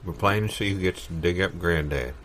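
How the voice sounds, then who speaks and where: A man's voice: low-pitched, a little fast, fairly bright tone. An actor playing a stubborn, blunt, unbothered backwoods man in an improvised comedy sketch. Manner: blunt, obstinate.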